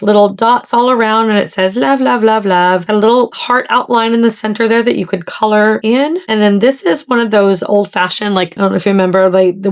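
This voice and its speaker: Hoarse, chatty woman crafter. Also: medium pitch. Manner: chatty and friendly, addresses viewers directly. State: hoarse, scratchy voice. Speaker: craft video host. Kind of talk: casual crafting tutorial. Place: home craft room.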